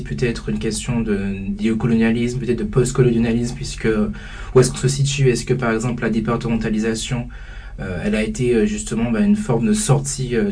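A man's voice: fairly low pitch, dark tone, slightly rough, recorded from a distance. A young man who heads a student association, speaking hesitantly in a panel discussion, with many fillers and restarts. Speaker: student association president, guest.

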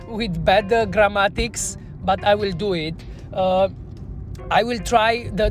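A woman with a Romanian accent, a native Romanian speaker talking in English, telling a candid, self-reflective story as a podcast guest. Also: medium pitch. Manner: earnest, self-reflective, non-native phrasing. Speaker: bar trainer, podcast guest.

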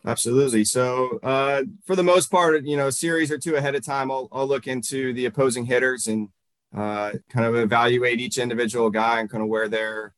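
A man in his thirties from Northern Virginia, a baseball coach answering interview questions in a halting way, full of fillers and restarts. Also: mid-range voice, fairly even intonation, no room sound.

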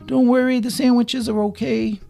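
A man's voice: very high-pitched, little pitch movement, moderate pace, creaky, dark tone, faint music behind, voice pushed up. A man voicing an anxious, dim but good-natured dog in a children's story, stammering and whiny. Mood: dazed, reassuring.

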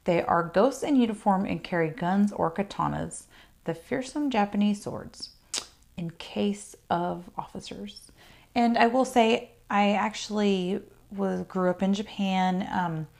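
A woman's voice: medium pitch, a little slow, gravelly, a little mellow. A woman hosting her own podcast, talking casually and chattily about folklore with fillers and asides. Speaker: podcast host. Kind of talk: casual solo podcast talk.

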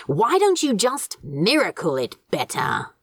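A woman's voice: medium pitch, wildly swooping pitch, a little slow, slightly rough, no room sound, studio quality, heavily acted. A woman voicing an irritable, sarcastic male demon in an audiobook story, emphatic and scornful. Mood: demanding. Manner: exasperated, emphatic, sardonic.

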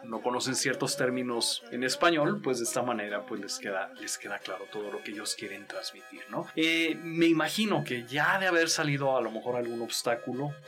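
A man's voice: medium pitch, lively intonation, brisk, few pauses. Mexican male interviewer, music behind. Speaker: interview host. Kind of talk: casual conversational interviewing.

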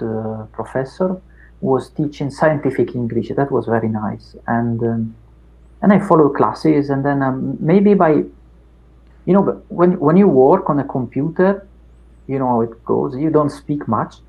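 A middle-aged man with an Italian accent, Italian his first language, talking through his past in an unhurried interview with many fillers and restarts. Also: mid-range voice, dull audio. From Italy. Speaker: academic guest. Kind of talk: reflective interview chat.

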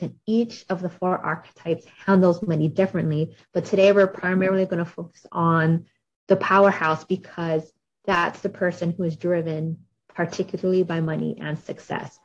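Host: upbeat talkative woman, low-ish pitch, lo-fi recording. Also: fairly even intonation, normal speed, a little hiss or hum. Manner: bubbly, chatty, many fillers. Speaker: podcast host. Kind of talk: podcast interview. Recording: dull lo-fi audio.

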